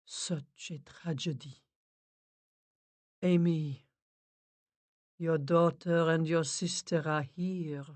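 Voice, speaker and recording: A woman voicing a female spirit medium who speaks low, soft and full of sympathy. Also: steady tone, a little slow, hardly any pauses, lightly acted. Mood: soothing.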